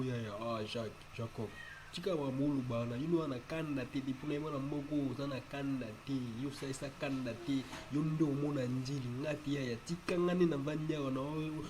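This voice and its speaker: A male actor plays an exasperated cartoon man of ordinary pitch. He argues casually and insistently, circling back over his words. A faint noise sits behind him. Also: darkish tone, lightly acted, poor audio. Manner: repetitive, insistent, argumentative. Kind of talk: casual cartoon dialogue in Lingala.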